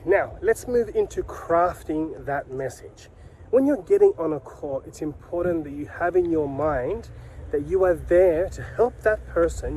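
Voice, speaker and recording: A man's voice: on the high side, mellow, slight background noise, poor audio. A man giving an instructional talk to camera as a sales coach, speaking emphatically with repeated key phrases and rhetorical questions. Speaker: sales coach and video host.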